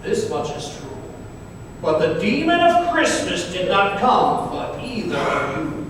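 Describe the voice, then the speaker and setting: Man acting old Santa Claus, high lively voice, commanding, stern yet jolly, rhyming radio drama, distant in a reverberant hall. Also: a little slow, heavily acted. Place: live stage performance in a hall. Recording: very echoey hall, distant mic, faint noise.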